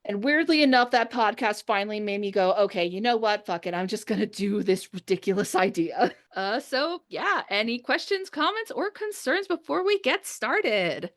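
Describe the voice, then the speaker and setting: A woman's voice: medium pitch, animated, moderate pace, hardly any pauses. Female podcast host and script reader, informal, conversational delivery among friends. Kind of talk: casual chat with friends before a script read-through.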